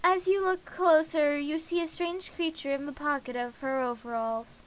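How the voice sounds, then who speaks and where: A woman's voice: high voice, fairly even intonation. A woman streamer reading a visual novel aloud with casual asides, stumbling and correcting herself as she goes. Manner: halting reading with slips and self-corrections. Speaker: game streamer.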